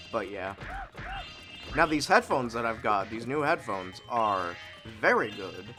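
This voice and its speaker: A man casually commenting on a video game he plays, sniffly from a recent sneeze, chatty and quick to swing between excited shouts and low mumbles. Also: faint music behind. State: sniffly nose. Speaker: let's play host.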